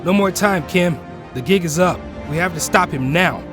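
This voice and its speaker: A man acts a bold teenage boy hero in an audio drama. His voice is slightly high, decisive and informal, with clear music behind him. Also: normal speed, natural voice. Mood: urgent.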